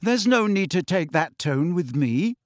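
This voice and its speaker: A confident teenage witch girl answers coolly and promptly, as a man reading a storybook does her. The voice lifts high and stays lively. It sounds close and dry. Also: measured pace, dry recording.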